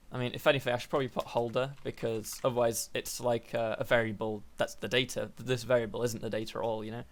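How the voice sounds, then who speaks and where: Young guy a bit croaky, rambling thru a coding tutorial, lots of ums and self corrections, medium pitch. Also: little pitch movement, a little fast. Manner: thinking aloud, rambling with fillers and self-corrections. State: slightly hoarse, croaky voice. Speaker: programming tutorial host. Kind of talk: casual screen-recorded coding tutorial.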